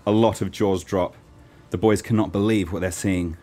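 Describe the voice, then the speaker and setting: A man's voice: deep voice, fairly even intonation, moderate pace, dark tone. A man reading the scripted narration of an amateur radio play.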